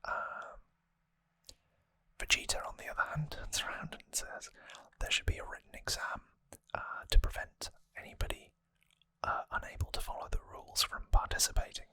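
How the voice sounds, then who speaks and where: Asmr person whispering super close, breathy and really bright, takes their time, kinda wry. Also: moderate pace, no room sound. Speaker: ASMR host. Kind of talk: whispered ASMR, rambling trivia recap. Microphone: close microphone.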